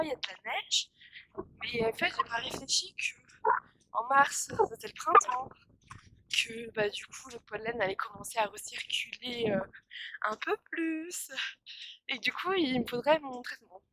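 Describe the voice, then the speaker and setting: Tired, stuffy-nosed young woman, bright voice. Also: sits high, animated, unhurried, slight background noise, rough recording. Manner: chatty, rambling, many fillers and restarts. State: congested from pollen allergy, coughing. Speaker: vlogger. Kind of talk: casual vlog chat to camera. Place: outdoors on a windy walk near a village. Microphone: phone held at arm's length.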